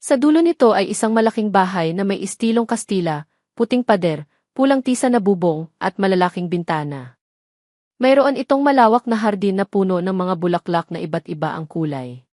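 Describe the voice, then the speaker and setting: A woman's voice: mid-range voice, lively intonation, a little fast, darkish tone, no room sound, clean studio sound. A woman narrating a scripted dramatic story.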